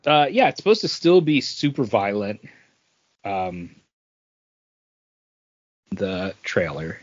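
A man's voice: on the low side, very animated, takes it slowly, no echo. A middle-aged man co-hosting a podcast, chatting casually about entertainment news in a rambling, anecdotal way. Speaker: podcast co-host. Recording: dull lo-fi sound.

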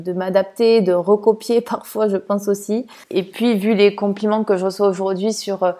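A woman's voice: mid-range voice, warm tone. A woman from France talking casually in a personal podcast monologue, hesitant with many fillers and restarts. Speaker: podcast host.

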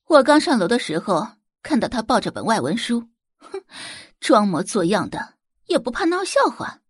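Irritable mother for audio drama, performed by a woman: huffy, scolding, contemptuous, slightly husky, widely swinging intonation. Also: fairly high pitch, very animated, normal speed, no echo, clean studio sound. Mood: annoyed, scornful.

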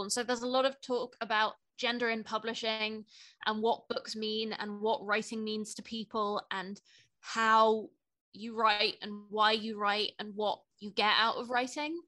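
A woman's voice: on the high side, sharp tone. A young woman co-hosting a casual book podcast, speaking with enthusiasm and some hesitant phrasing. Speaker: podcast co-host.

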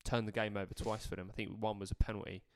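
A man's voice: fairly low pitch, flat delivery, fast talker, gravelly, slight background noise. A man co-hosting a casual football podcast, talking in a chatty, rambling way. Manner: chatty, rambling, lots of fillers and restarts. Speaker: podcast co-host.

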